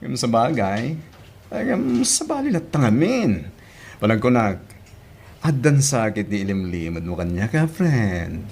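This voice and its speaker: A nosy female friend acted by a woman in an Ilocano radio-style drama. She teases and exclaims in a husky voice that swoops wildly up and down. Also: a bass voice, very animated, darkish tone, heavily acted. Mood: teasing. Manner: exclaiming, drawn-out teasing.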